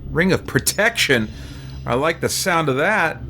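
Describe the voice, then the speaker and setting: A man's voice: a little high, lively intonation, a little slow, noisy background. A man chatting casually as a game streamer, thoughtful and rambling, often hesitant as he comments. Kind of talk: casual livestream chat and gameplay commentary. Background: game music.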